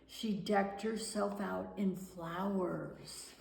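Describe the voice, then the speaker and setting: A woman reading a children's picture book aloud with deliberate, expressive storytelling. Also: mid-range voice, vocal fry, a little hiss or hum. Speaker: guest storyteller. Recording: roomy, echoing room sound.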